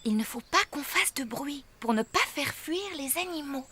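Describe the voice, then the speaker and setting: High-voiced, sensible mummy pig. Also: female voice, animated, normal speed, background noise. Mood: gentle. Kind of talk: children's cartoon dub acting.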